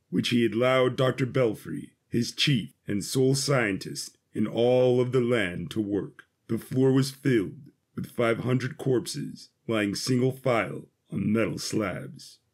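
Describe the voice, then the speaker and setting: A man's voice: medium pitch, measured pace, few pauses, slightly rough. A man reading scripted fiction as the narrator of a story.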